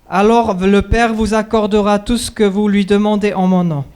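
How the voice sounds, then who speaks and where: A male missionary preaching in short phrases, pausing for his interpreter. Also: high voice, little pitch movement, darkish tone, clean tone. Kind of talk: sermon with interpreter.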